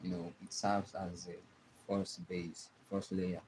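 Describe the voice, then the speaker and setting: A young man with a Nigerian accent, giving a live drawing tutorial and talking softly as he works. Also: deep voice, flat delivery, noisy background. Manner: slow, soft-spoken, trailing off while drawing. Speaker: art teacher streaming live.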